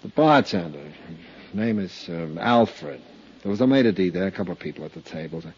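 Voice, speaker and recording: A cynical jailed firebug, American and streetwise, with a low, husky voice. He talks in terse slang. It sounds like a 1950s radio crime drama. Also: lively intonation, moderate pace, a little mellow. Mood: resigned, confessing. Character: shifty, cynical male career arsonist in jail. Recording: dull 1950s radio recording.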